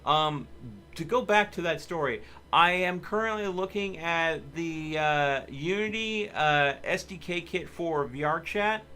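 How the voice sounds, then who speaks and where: A man's voice: on the high side, a little slow, hardly any pauses, pushed and bright. Rambling man streaming, some background noise. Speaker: livestream host. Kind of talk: casual chat while live streaming a video game.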